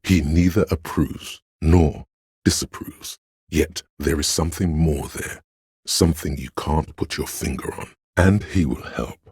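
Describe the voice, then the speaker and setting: A man playing a solemn, all-knowing inner-voice narrator in a video game, with a gravelly, husky voice and a measured, literary delivery. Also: rumbling low, a little mellow, dry recording, studio quality, full character voice. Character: omniscient, solemn inner-voice narrator of police camaraderie. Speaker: voice actor narrating a character's inner voice.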